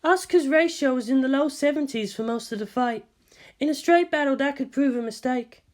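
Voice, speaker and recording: A cool senior woman scientist acted by a female reader, high in pitch and measured in delivery. She lectures dryly, like a world-weary anime mentor guiding her junior. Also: a little mellow, natural voice. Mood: dry chiding. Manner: measured, didactic, dry. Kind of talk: anime fan fiction character voice.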